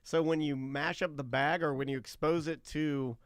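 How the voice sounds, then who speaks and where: A man's voice: fairly high pitch, fairly even intonation, moderate pace, smooth, dry recording, clean studio sound. A man co-hosting a radio show, chatting casually and telling stories with comic banter.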